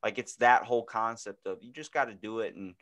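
Young guy podcast host just chatting, slangy n sweary, animated storytelling. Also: on the low side, fairly quick. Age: 20s. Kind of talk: casual chat, storytelling. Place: indoor room, podcast video call.

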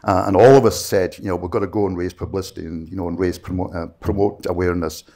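A man's voice: sits low, steady tone, fast talker, dark tone. A middle-aged Scottish man, a native Gaelic speaker, talking earnestly on a panel with many fillers into a handheld mic in a conference room. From Scottish Western Isles. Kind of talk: panel discussion talk.

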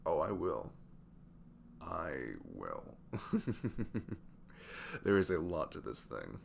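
A man in his twenties playing a sweet, easygoing single dad in an intimate ASMR audio roleplay, chatting haltingly in a velvety voice. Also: very animated, slight background noise, full character voice. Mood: playful, candid. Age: 20s. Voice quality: deep, gravelly velvet voice. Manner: halting, chuckling, conversational.

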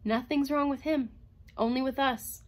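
A woman's voice: high-pitched, steady tone, moderate pace, voice pushed up, close to an everyday voice. A woman voicing a sardonic, bitter female robot psychologist with a cutting, imperious manner, in a story reading. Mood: brittle, ironic.

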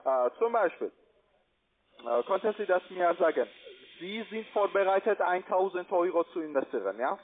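A man with a foreign accent giving a pushy, repetitive phone sales pitch in halting non-native German. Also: lively intonation, slight background noise. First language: not German. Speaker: call centre investment salesman. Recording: tinny band-limited phone line.

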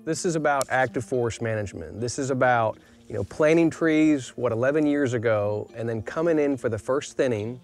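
A man's voice: medium pitch, a little fast, hardly any pauses, darkish tone, faint music behind. Enthusiastic man explaining a forest outdoors. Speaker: wildlife biologist.

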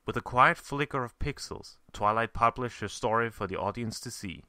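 Guy reading fan fiction as narrator, bright tense voice, very dry close sound. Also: mid-range voice, normal speed, clear, resonant tone, dry recording. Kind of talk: scripted story narration.

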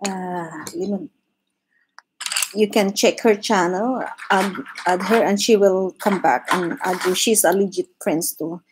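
Slow-talking Filipino woman chatting while drawing. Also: medium pitch, fairly even intonation, background noise. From Philippines. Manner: chatty, switches between English and Tagalog, reads chat aloud, laughs often. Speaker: livestream host. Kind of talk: casual chat while drawing live. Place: home living room, indoors.

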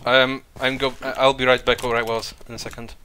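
Young male gamer, bright tense tone, stammering jokey teammate. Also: medium pitch, flat delivery, a little fast, slight background noise. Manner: joking, hesitant, stammering. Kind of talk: casual gaming chat with friends.

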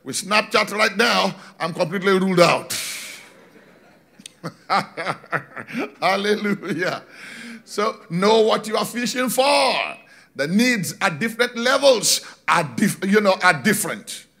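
Animated preacher with a bright, gravelly voice. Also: male voice, sits high. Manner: animated preaching with slow emphatic lines and shouted points. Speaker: pastor. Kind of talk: sermon. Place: large church stage. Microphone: handheld mic.

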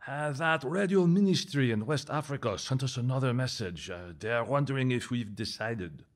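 An actor playing a devout, caring missionary husband in a radio drama. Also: male voice, mid-range voice, expressive, moderate pace, lightly acted. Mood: cheerful, chatty.

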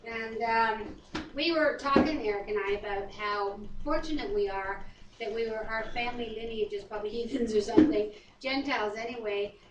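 A middle-aged woman, chatty and quick to laugh, co-hosting a home Bible reading and praying. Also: fairly high pitch, very noisy. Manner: chatty, laughs easily, self-deprecating. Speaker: co-host leading prayer and Bible reading. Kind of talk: casual chat, prayer and scripture reading. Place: wood-paneled home dining room, indoors. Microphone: far camera. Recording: roomy, distant home video.

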